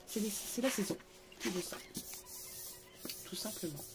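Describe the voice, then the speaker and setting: A woman's voice: mid-range voice, lively intonation, unhurried, background noise. A middle-aged woman with a cold, chatting through a home craft tutorial with lots of fillers. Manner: chatty, repeats "tout simplement" and "voilà", many fillers. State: congested with a cold. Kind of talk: casual craft tutorial narration. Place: living room at home.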